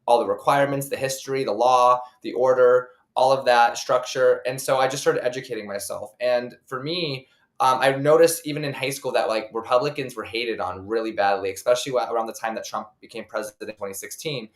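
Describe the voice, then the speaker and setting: A man's voice: mid-range voice, fairly quick, reverberant. A chatty American man in his twenties from Ohio, casually telling personal stories as a podcast guest, with "like" sprinkled throughout. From Ohio, United States. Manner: chatty, peppered with "like". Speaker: podcast guest, content creator.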